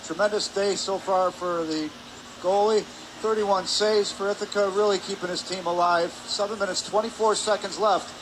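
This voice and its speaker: A man giving measured, explanatory color commentary during a live hockey broadcast. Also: sits high, steady tone. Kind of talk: live sports analysis. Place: indoor ice hockey arena. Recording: noisy arena ambience behind.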